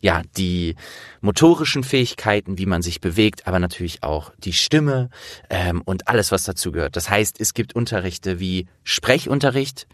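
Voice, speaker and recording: A man's voice: low voice, lively intonation, normal speed, dry recording, studio quality. A man hosting a casual interview, talking informally and explaining things as he thinks aloud. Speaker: interviewer and host.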